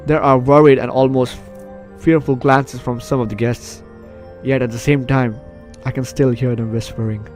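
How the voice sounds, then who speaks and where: Man, mid-pitched voice, casual let's play host, reading story text aloud, game music behind. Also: fairly even intonation, normal speed, darkish tone. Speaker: gaming video host.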